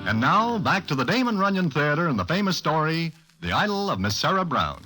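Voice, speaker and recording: Male radio announcer over loud music. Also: fairly high pitch, big pitch swings, fairly quick, low-quality recording. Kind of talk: old-time radio announcing.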